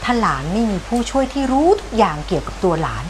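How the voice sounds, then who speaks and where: A woman's voice: medium pitch, very animated, fast, a little hiss or hum, natural voice, poor audio. An actress playing a stern, bossy old grandmother who scolds dramatically. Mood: scolding. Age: older. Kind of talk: television drama acting. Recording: music score behind.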